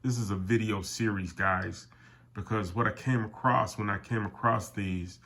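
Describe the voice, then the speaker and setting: An older man with a somewhat low voice, nasal and stuffy from a summer cold. He rambles casually to his viewers with plenty of fillers and restarts, calling them "guys" as he shows off items from his collection. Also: steady tone, moderate pace, vocal fry, a bit bright. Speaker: airgun collector and channel host. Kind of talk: casual product talk to camera.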